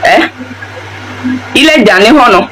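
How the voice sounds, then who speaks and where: A man's voice: very high-pitched, expressive, slow, pushed and bright, a little hiss or hum, rough recording. A man from Togo with a Togolese French accent, delivering a heated, repetitive, scolding political rant to the camera.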